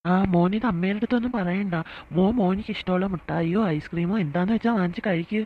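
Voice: mid-range voice, fast, natural voice. A performer voicing a doting, conspiratorial old grandfather in a cartoon skit, indulgent toward a grandchild. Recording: thin, phone-like narrow sound.

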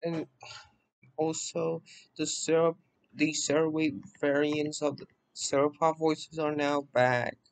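Slow, halting man with a higher voice. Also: little pitch movement, gravelly, poor audio. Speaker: presenter of a software voice-pack demo. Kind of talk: casual screen-recording walkthrough. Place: voice-over on a screen recording.

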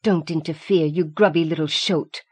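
A woman voicing a bright, prickly young schoolgirl with a sharp, defiant manner in an audiobook. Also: sits low, fairly even intonation, normal speed, breathy edge. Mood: snappish. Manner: sharp, snappish.

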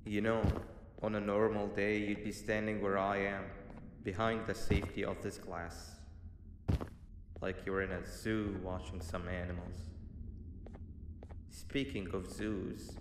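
A man acts out a scheming monster mascot from a video game. The voice is low and conversational, hesitant yet coaxing. It rings through a long echoing reverb with clear noise behind it. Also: level tone, measured pace, long pauses, natural voice. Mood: confiding, apologetic. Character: shifty, apologetic red cartoon devil mascot, scheming male monster. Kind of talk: video game character acting. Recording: very echoey hall reverb, loud noise.

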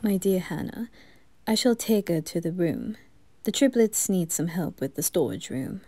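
A woman's voice: on the low side, animated, moderate pace, dark tone, no echo, pitched below the performer's own voice. A woman playing a dutiful male butler in an ASMR roleplay, speaking in a formal, restrained way. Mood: composed.

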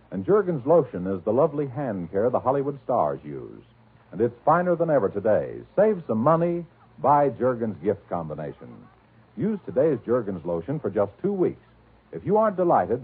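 A man's voice: medium pitch, slightly rough. An American man as a 1940s radio announcer, reading scripted sponsor copy with a polished salesmanlike delivery. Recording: muffled, band-limited 1940s radio broadcast.

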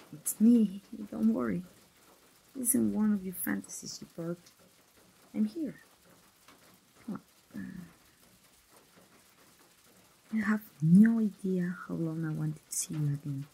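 A woman's voice: medium pitch, gravelly, dark tone, slightly rough, exaggerated voice, low-quality recording. A woman, voicing a chatty, teasing young best friend in an ASMR roleplay, playful and familiar, as if speaking straight to the listener. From Mexico. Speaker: voice actor.

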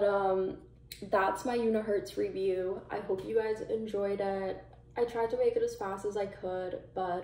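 A young woman from Canada talks her way through a casual review, looping back with likes and ums. Her voice is mellow with a gravelly fry. She sounds a little distant from the camera in an echoey room with a faint hiss behind her. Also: medium pitch. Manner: chatty, rambling, many likes and ums. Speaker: vlogger. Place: small plain room indoors. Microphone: camera a few feet away.

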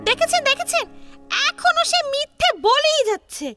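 A woman's voice: very high-pitched, very animated, normal speed. A woman voicing a brash young male village trickster in a cartoon, insistent and scolding. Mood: indignant, insistent. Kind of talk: cartoon dialogue. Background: music.

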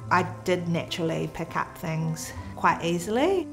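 A woman in her twenties with a New Zealand accent, reflecting quietly in a documentary interview. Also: lowish voice, sing-song, normal speed, rough recording. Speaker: athlete. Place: indoor room with framed photos. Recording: music underneath.

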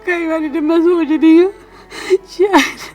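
A tearful, despairing young wife in a village drama, sobbing through her words, acted by a woman. Her voice sits high, recorded from a distance with faint music underneath. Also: steady tone, moderate pace, darkish tone, close to an everyday voice. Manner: sobbing, despairing, low subdued delivery. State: crying. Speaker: actor. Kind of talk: scripted drama acting.

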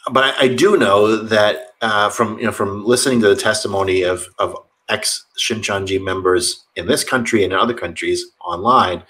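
Earnest low-voiced pastor rambling on a call. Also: male voice, fairly bright tone, rough recording. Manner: earnest, rambling with many restarts. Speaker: pastor, guest. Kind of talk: video call conversation. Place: home office with bookshelves.

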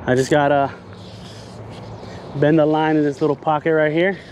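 A man in his thirties vlogging while fly fishing outdoors by a lake, recorded on an action camera, talking casually and getting excited when fish show up. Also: a little high, normal speed, slight background noise. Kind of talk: casual vlog narration.

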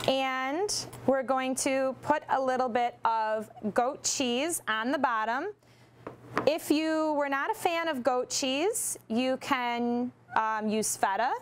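Young woman w a high voice, slow calm recipe walkthrough, studio kitchen, bit of background hiss. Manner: instructive, steady, with occasional ums. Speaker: nutrition segment presenter. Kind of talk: cooking demonstration. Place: large steel studio kitchen, indoors.

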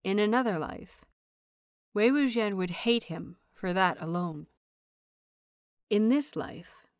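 A woman reading a story aloud as an audiobook narrator, in expressive scripted narration. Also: mid-range voice, dry recording, clean studio sound. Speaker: audiobook narrator of fan fiction.

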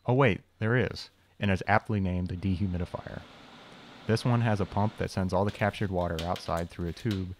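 A man's voice: sits low, fairly even intonation, moderate pace, a little hiss or hum. A young man narrating a scripted shop-tour voice-over, explaining machines with dry, wry humor. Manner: dry, wry humor with self-deprecating asides. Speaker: channel host, machinist.

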